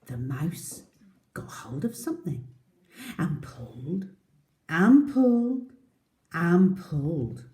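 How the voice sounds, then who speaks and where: A woman reads a picture book for young children in a slow, lowish, mellow voice. Her delivery is expressive and dramatic, often sinking to a hush. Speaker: children's storyteller.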